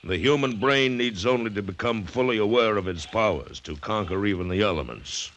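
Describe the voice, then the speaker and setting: A man's voice: lowish voice, lively intonation, a little fast, a bit bright. A man acting an arrogant, defiant plantation owner in 1940s American radio drama style, pompous, emphatic and theatrical. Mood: proud, brooding. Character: arrogant, defiant male plantation owner, coolly confident of his intellect. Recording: old radio recording with music.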